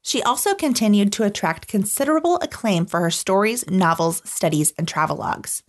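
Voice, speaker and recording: A woman reading scripted documentary podcast narration in an articulate, measured storytelling manner. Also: medium pitch.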